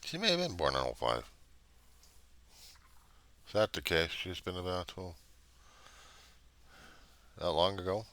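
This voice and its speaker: A middle-aged American man giving a casual, rambling monologue, halting as he thinks aloud in plain words. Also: low voice, huge pitch swings, sharp tone, no room sound. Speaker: vlogger.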